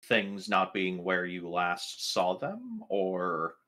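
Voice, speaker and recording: A man playing a tabletop roleplaying game, asking questions in character in a halting, deliberate way. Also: low voice, huge pitch swings, sharp tone. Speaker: player.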